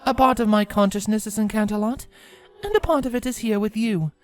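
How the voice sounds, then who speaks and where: A stately immortal pony princess of the night, played by a woman, speaks formally and with composure in an audio story. Music plays beneath her medium-pitched voice. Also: brisk, close to an everyday voice. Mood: solemn, calm. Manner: formal, stately, uses royal we.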